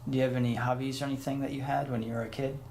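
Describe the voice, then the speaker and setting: Flat, gravelly male interviewer. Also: mid-range voice, very fast, slight background noise. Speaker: interviewer, host. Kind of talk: casual interview questions.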